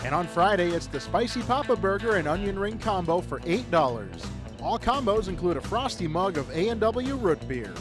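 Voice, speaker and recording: Male commercial announcer, scripted radio read, high-pitched, very animated intonation. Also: normal speed. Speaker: advertisement announcer. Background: music.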